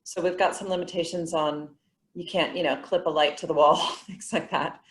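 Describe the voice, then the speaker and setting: A woman's voice: low-pitched, fairly even intonation, normal speed. A woman speaking as a museum representative on a panel, explaining things with enthusiasm. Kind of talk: panel discussion answers.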